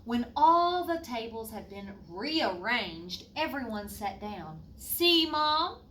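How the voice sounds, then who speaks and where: A woman teacher reading a children's picture book aloud in an expressive way, with asides to young listeners. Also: fairly high pitch, takes it slowly. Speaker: fourth grade teacher. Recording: roomy, distant mic, faint noise.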